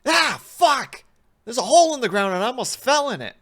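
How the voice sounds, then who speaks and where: Young female test subject played by a man, horror audio story: frightened, whiny, exclamatory, pitched up, very animated. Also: moderate pace. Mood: panicked. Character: frightened, disgusted young woman test subject exploring dark stairs. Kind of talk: horror fiction audio reading.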